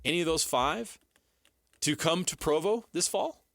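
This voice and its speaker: A man's voice: fairly high pitch, expressive, unhurried, vocal fry, fairly bright tone, no echo, studio quality. A man with an American accent co-hosting sports talk, explaining his analysis emphatically in a casual style. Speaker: sports radio co-host.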